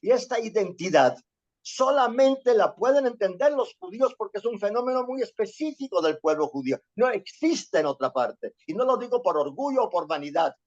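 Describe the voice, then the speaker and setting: A man's voice: sits high, big pitch swings, moderate pace, a little mellow. A man from Venezuela giving a history lecture, often checking in with listeners and repeating himself as he searches for words. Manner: frequent questioning "¿sí?" and repetitions. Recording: dull lo-fi sound.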